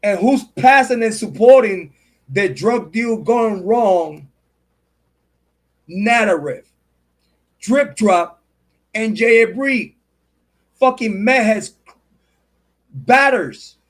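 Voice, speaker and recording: Fired-up, high-voiced male streamer ranting. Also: lively intonation, very slow, long pauses. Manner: heated, profane and repetitive, calling out a rival; shouts out and thanks viewers by name. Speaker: true crime channel host. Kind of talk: livestream commentary rant.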